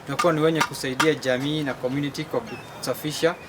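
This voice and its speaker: A young Kenyan man talks extremely fast in an interview. His voice is mid-pitched, and the location recording is clearly noisy, with clicks. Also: steady tone. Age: young adult. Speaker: youth group chairman. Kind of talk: interview statement.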